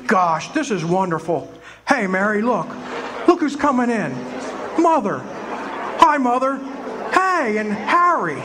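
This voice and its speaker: A man's voice: high voice, a little slow, pauses often, a crowd in the background, rough recording. A man acting in a live community radio play, voicing an overjoyed, breathless husband and father. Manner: excited, breathless joy. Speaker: actor playing George Bailey.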